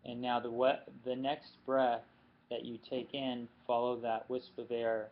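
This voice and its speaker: A man leading a guided yoga nidra meditation as instructor, speaking unhurriedly in short phrases with long pauses. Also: mid-range voice, little pitch movement. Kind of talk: guided meditation, slow body-scan instructions. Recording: muffled lo-fi recording with background noise.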